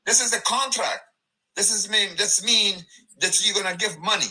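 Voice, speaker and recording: A middle-aged man from Afghanistan telling his story in a halting, emphatic, animated way with many restarts. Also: high-pitched, poor audio. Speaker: guest giving a testimony. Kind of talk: conversational personal testimony.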